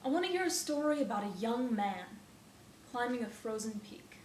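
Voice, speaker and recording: A woman performing a theatrical monologue as a wistful dreamer longing for a story, in deliberate lyrical phrases. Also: a little high, animated, a little mellow, echoey room, close to an everyday voice. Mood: wistful, imaginative. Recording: noticeable background noise.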